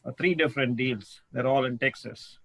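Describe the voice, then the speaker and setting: A man introducing himself on a video call, speaking haltingly with many restarts. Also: mid-range voice, a bit bright, a little hiss or hum. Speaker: real estate investor.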